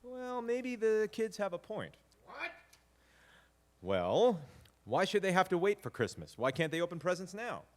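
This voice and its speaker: A man's voice: high voice, big pitch swings, a little slow, long pauses. An actor playing a genial, fumbling father who tells Christmas stories in a live stage audio drama. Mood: coaxing, genial. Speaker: father and narrator. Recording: live hall recording with long reverb.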